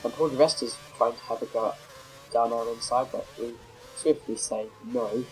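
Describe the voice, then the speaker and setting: A man's voice: mid-range voice, normal speed, a little hiss or hum, poor audio. Nasal man with a cold. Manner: rambling, self-correcting, many fillers. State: has a cold, a bit nasal. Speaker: gameplay commentator. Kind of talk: casual racing game commentary.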